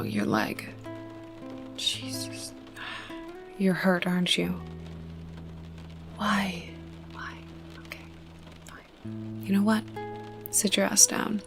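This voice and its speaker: A wary, sharp-tongued young woman survivor, played by a woman speaks close to the listener in an ASMR roleplay. Her mid-pitched voice is breathy and crackles with fry, often sinking toward a whisper. She takes her time, blunt and grudgingly caring, over faint music. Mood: gruff concern. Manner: wary, sarcastic, blunt, cursing.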